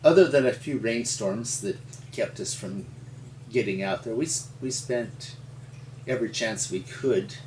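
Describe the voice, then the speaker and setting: An older man from rural Montana with an American accent, telling his story in an interview in a halting, folksy, explanatory way. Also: medium pitch, darkish tone. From rural Montana, United States. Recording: roomy, distant mic with faint hum.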